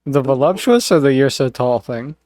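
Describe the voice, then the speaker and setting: A man's voice: medium pitch, lively intonation, moderate pace, a little mellow, no echo, clean studio sound. A man hosting a casual podcast, congested, rambling with lots of fillers and laughs. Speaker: podcast host. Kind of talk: casual chat.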